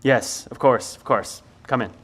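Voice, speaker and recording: An actor playing an anxious, protective middle-aged scientist leading survivors, speaking in an urgent, earnest, naturalistic audio drama style. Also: male voice, medium pitch, a little slow, a little hiss or hum, natural voice. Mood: composed, firm.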